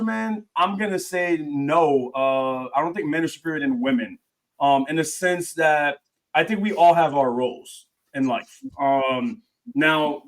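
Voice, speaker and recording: A man co-hosting a casual podcast, talking sports and debate in an animated way and saying "bro" a lot. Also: a little high. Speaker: podcast co-host.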